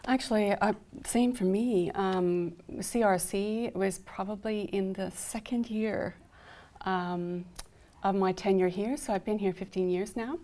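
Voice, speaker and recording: A woman's voice: medium pitch, steady tone, measured pace, mellow. A middle-aged woman with a Canadian accent, an academic chatting enthusiastically on a panel. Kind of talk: conversational panel discussion. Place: indoor studio panel set.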